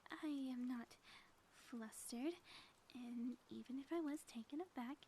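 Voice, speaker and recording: A woman playing a sly, theatrical supervillain inventor in an ASMR audio roleplay, speaking softly with pompous, taunting phrasing and often dropping toward a whisper. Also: high voice, unhurried, a little hiss or hum. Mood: flustered, indignant. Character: gloating female supervillain inventor, sly, theatrical, secretly smitten.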